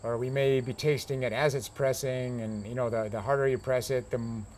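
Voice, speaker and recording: A middle-aged American man, a farmer and winemaker, explains his work casually and haltingly in an interview. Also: mid-range voice, fairly even intonation, darkish tone. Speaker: grape grower and winemaker being interviewed. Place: outdoor covered porch beside a pond. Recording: faint outdoor insect noise.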